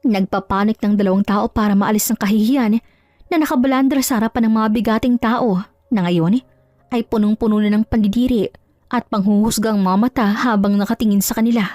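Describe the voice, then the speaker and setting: A woman narrating a dramatic story in a steady storytelling manner. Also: medium pitch, a little fast, dry recording. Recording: dry, faint music underneath.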